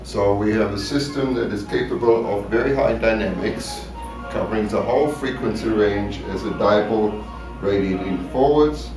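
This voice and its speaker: A man giving a measured technical explanation in an interview, checking that he is understood. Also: on the low side. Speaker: loudspeaker designer. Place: exhibit room at an audio show. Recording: echoey room, distant mic, music behind.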